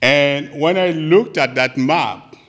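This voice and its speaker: A man from Cameroon, giving a halting, earnest personal reflection at a church gathering. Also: a little high, fairly bright tone. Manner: halting, earnest, with frequent pauses. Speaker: church trip participant sharing reflections. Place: church sanctuary. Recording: long reverb of a large hall.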